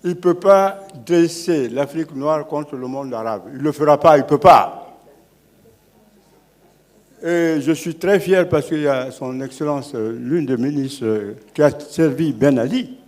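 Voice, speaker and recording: Older man from Guinea, panel elder: fervent platform orator, fairly high voice. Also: measured pace. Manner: oratorical, building to forceful declarations. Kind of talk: impassioned speech at a public panel.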